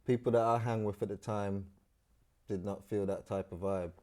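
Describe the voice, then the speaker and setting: Trinidad-born guy, slangy storyteller, lowish voice. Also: moderate pace. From Trinidad and Tobago. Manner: animated storyteller, London street slang. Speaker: guest. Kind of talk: casual storytelling interview.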